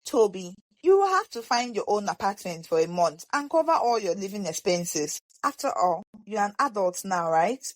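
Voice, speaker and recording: A woman's voice: mid-range voice, big pitch swings, moderate pace, few pauses, no echo, close to an everyday voice. A woman voicing a worn-out Nigerian immigrant mother in a story, pleading and exasperated. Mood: urgent, stern. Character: weary, anguished Nigerian immigrant mother of a teenage son.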